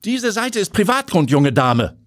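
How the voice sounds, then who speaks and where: A stern lady of about fifty, as a male narrator puts her on, speaking in a high, haughty voice. Her pitch swings widely, with a husky edge in a dry, close recording. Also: big pitch swings, measured pace, no room sound, heavily acted. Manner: haughty, then flustered and despairing. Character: stern wealthy woman in her fifties.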